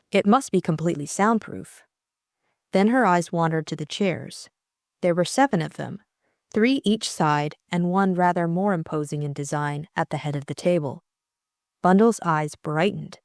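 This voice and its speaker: A woman's voice: on the low side, darkish tone, dry recording, studio quality. A woman narrating a classic mystery novel as a scripted audiobook reading.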